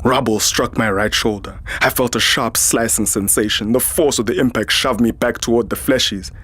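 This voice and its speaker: An actor playing a weary, hot-tempered military security chief in a science fiction audio drama, speaking in a terse, dramatic way. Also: male voice, medium pitch, fairly even intonation, normal speed, pushed and bright, slightly rough. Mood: tense narration. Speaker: lead actor and first-person narrator. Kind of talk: audio drama acting and narration.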